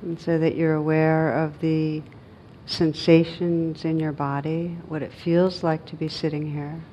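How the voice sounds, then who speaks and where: A woman with a low voice leads a guided meditation. Her delivery is gentle and very even, with little rise or fall and long, unhurried pauses between phrases. A faint noise and rumble sit behind her. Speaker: meditation teacher.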